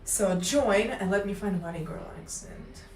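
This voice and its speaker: Russian-accented woman streamer, playful and chatty, mid-pitched, roomy distant mic. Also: moderate pace, a little mellow, a little hiss or hum. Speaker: livestreamer. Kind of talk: casual stream chat.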